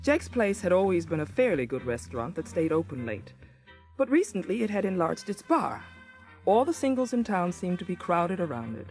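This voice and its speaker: A woman's voice: fairly low pitch, big pitch swings, normal speed, darkish tone, soft background music. A woman playing a sensible, concerned American housewife who also narrates, in a naturalistic radio drama style. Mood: reflective.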